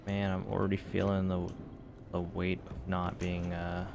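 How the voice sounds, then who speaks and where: A man's voice: deep voice, completely flat delivery, measured pace, creaky, noisy background. A man giving casual, joking commentary while playing a video game, often muttering to himself. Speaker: gameplay streamer.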